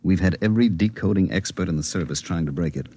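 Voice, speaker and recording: A deep, husky security chief. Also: male voice, fast, warm tone. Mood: official, persuasive. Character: official, pressing male government security chief. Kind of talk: 1950s radio drama acting.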